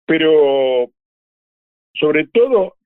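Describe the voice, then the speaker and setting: An older man with an Argentine accent, a veteran announcer reminiscing in an interview, picking his words carefully and haltingly. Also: on the high side, animated, smooth, dry recording. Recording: dry, narrow phone-like line.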